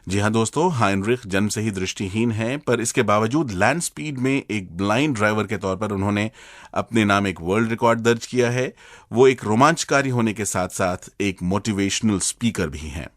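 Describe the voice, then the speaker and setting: A man hosting a radio show, announcing in a clear, scripted way. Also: fairly low pitch, moderate pace, no echo, clean studio sound.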